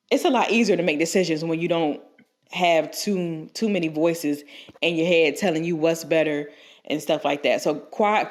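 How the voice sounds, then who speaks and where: A young woman co-hosting a podcast, stuffed up from a cold, rambling through advice with many restarts and fillers. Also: sits low. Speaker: podcast co-host. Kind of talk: casual advice chat.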